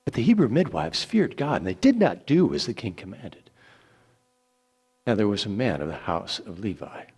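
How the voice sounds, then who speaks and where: A man giving a dramatic scripture reading, theatrical and deliberate, with dramatic pauses. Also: medium pitch, a little mellow, slightly rough. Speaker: Bible reader.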